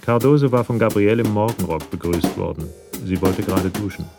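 Very deep, gravelly male narrator over music. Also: steady tone, brisk. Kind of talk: scripted narration of a radio crime drama. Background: music with drums.

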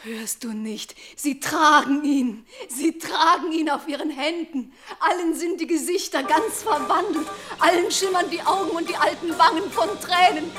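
An actress playing an excitable, emotional young princess and sister in classical radio tragedy, breathless and exclamatory. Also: female voice, sits high, normal speed, few pauses, soft background music. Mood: tearful awe.

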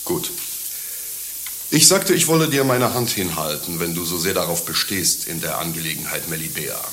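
A man's voice: sits low, lively intonation, moderate pace, a bit bright. A man playing a mocking, mercenary servant in a satirical radio play, lecturing his friend with crude, pedantic condescension. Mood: scolding, scheming. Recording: roomy, with faint hiss.

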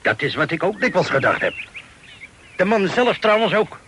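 A man's voice: high-pitched, sing-song, sharp tone, a little hiss or hum, heavily acted. An actor in a Dutch radio drama playing a captive sea captain, a pious, wry and stubborn family man who talks in a folksy way. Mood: wry, reflective. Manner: folksy, wry, unhurried storytelling.

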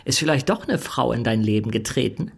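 A man's voice: mid-range voice, expressive, brisk, vocal fry, slightly rough. An actor playing a man's teasing, inquisitive friend in an audio drama, probing and joking.

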